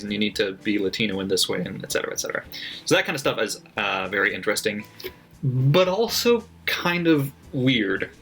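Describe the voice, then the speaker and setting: A man's voice: on the low side, fairly bright tone. Chatty, sardonic young-sounding man. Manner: loose and chatty, many fillers, frequent swearing; sardonic and critical, laughs at own notes. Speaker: book vlogger. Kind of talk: casual commentary reading book notes aloud. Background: faint background music.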